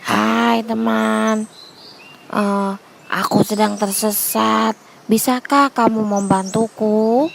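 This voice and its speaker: A woman's voice: fairly high pitch, steady tone, takes it slowly, gravelly, exaggerated voice. A woman voicing a young wolf in a children's fable, a polite lost stranger asking for help, on a noisy recording. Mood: pleading, friendly.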